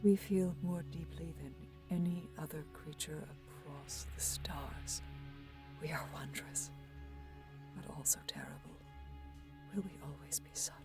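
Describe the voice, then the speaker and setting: A woman voices a solemn elven seer in a sci-fi audio drama. She speaks slowly and breathily, wary and prophetic, with music clearly playing underneath. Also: deep voice. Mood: wistful. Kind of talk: science fiction audio drama acting and narration.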